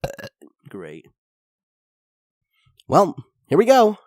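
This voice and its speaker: A man reading a fanfiction story aloud as channel host, excitable and crude in his asides. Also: high-pitched, drawn-out words, no room sound, studio quality. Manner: excitable and crude in the intro, then steady reading.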